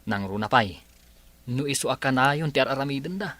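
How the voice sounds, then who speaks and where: A man's voice: mid-range voice, animated, a little fast, sharp tone. A man playing an easygoing friend who consoles the lead in a radio comedy drama. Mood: consoling.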